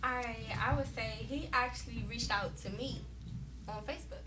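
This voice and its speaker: A woman's voice: fairly high pitch, normal speed, a loud crowd. A woman from Washington DC, a guest performer, chatting openly in an interview with a Washington DC accent. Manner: open, chatty, laughing. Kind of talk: casual interview chat.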